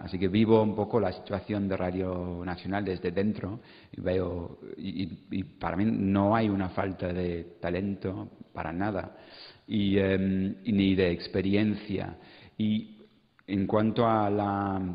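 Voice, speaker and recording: A man with a deep voice improvises an answer on a panel, hesitating as he looks for words. It is recorded in a big reverberant hall with a slightly muffled sound. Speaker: radio presenter on conference panel. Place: conference hall, amplified event.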